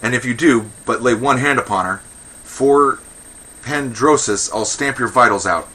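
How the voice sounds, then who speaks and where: A man's voice: medium pitch, normal speed, bright, forceful tone, husky, voice pushed up, poor audio. A man voicing defiant, fiery Athenian women in a verse comedy, taunting and threatening in a declamatory way.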